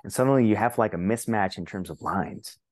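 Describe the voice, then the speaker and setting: A man's voice: on the low side, a little fast, no room sound, clean studio sound. A man from Puerto Rico with a Puerto Rican accent, telling stories animatedly as a guest on a podcast interview. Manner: animated storytelling, frequent restarts. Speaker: guest, translator and author. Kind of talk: conversational interview.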